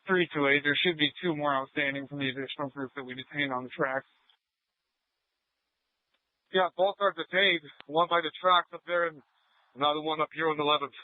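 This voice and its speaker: A man's voice: a little high, steady tone, brisk, pauses often, no room sound. Male officer on tinny, dry police radio. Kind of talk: radio status reports from the field. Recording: tinny narrow-band police scanner audio.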